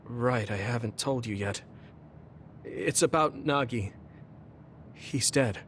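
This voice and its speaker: A man's voice: medium pitch, slow pace, a little hiss or hum, close to an everyday voice. A man voicing an earnest, sincere young male soldier in an anime game dub, reflective in delivery. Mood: grieving, guilty. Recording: music playing behind the voice. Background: game background music.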